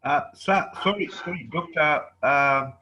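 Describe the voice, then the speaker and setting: A man's voice: fairly high pitch, measured pace, pushed and bright, slight background noise. A man moderating an online class, politely but firmly keeping order. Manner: polite but firm, says "sir".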